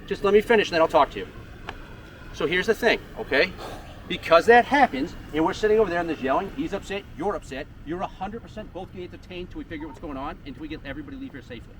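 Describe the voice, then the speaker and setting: A man's voice: on the high side, expressive, fast talker. A man in his thirties, a police supervisor questioning someone at a scene, firm and lecturing, often tagging "okay?" and "right?" onto his points. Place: outdoors by parked cars. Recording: distant voice, loud street noise.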